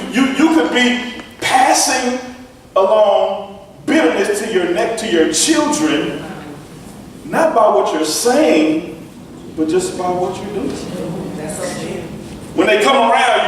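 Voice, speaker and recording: A man's voice: recorded from a distance, a little hiss or hum. Preaching man in an echoey church hall. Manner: swings between low intimate talk and shouted preaching; folksy, teasing the congregation. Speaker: pastor. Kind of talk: sermon. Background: congregation responding.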